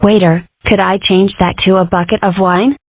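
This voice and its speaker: Woman acting as cartoon mother, teasing, curious, casual, middle pitch, tinny narrow-band recording. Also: steady tone, moderate pace, dry recording, close to an everyday voice. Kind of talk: cartoon comic dub. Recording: dry, narrow-band phone-like sound.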